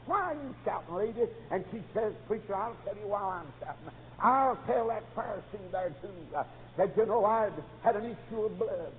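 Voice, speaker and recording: A dull, lo-fi recording carries a man preaching with long hall reverb. He delivers a fervent, exclamatory sermon in a high voice, breaking his phrases with a repeated "uh.". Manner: punctuates nearly every phrase with "uh". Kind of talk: fervent camp meeting revival sermon with acted dialogue. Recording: dull lo-fi tape in reverberant hall, faint noise.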